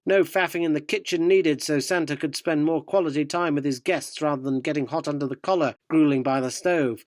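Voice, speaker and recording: Wry, high-pitched male journalist narrator, acted. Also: fairly even intonation, brisk, no echo, clean studio sound, lightly acted. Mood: wry narrating. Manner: wry, provocative. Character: cheeky, cynical yuppie male journalist narrating his scoop. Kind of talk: radio drama narration and dialogue.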